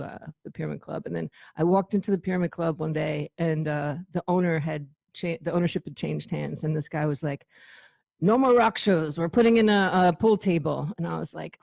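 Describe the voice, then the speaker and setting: Middle aged lady with lots of vocal fry, dry close sound, casual sweary storytelling. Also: deep voice, darkish tone, dry recording. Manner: informal, sweary, acts out dialogue. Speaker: guest, former band tour manager. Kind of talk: casual chat, telling anecdotes.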